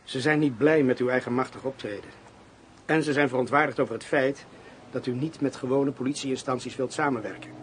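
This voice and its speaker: Male actor, police inspector, medium pitch, formal, cautious, official, radio drama, faintly noisy recording. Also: a little fast, close to an everyday voice. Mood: formal, reassuring.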